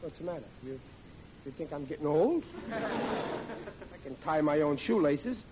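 A man's voice: fairly high pitch, animated, unhurried, crowd noise. An actor playing a touchy, blustering working-class family man in old radio comedy. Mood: wounded, touchy. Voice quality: ungrammatical working-class speech. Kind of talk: 1940s radio comedy acting. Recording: noisy, muffled old radio recording.

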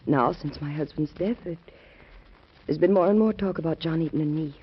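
An actress playing a hesitant, worried young widow in a classic radio drama, soft-spoken. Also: female voice, low-pitched, a little fast, slightly rough. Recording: dull vintage radio recording.

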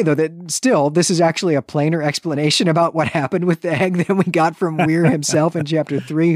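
A man co-hosting a literary podcast talks through the plot in a measured, analytical way. His voice sits at a middle pitch and the recording is dry and close. He adds small casual asides as he goes. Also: dry recording. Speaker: podcast co-host. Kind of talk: book discussion podcast.

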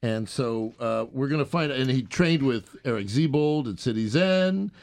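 A man's voice: mid-range voice, expressive, moderate pace, a little mellow. A male talk radio host with a mid-range voice. He chats casually with guests, teasing and joking as he moves the interview along.

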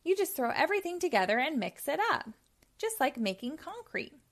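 A woman's voice: high voice, big pitch swings, brisk, voice pushed up. A woman voicing a playful, enthusiastic female cement mixer in a children's storybook, peppy and emphatic. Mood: confident.

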